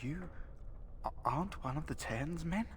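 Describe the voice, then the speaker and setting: An actor playing a confused, tormented imprisoned knight in a video game, halting and weepy, with music behind him. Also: male voice, a little high, expressive, slight background noise, natural voice. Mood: dazed, fearful. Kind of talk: dramatic video game acting.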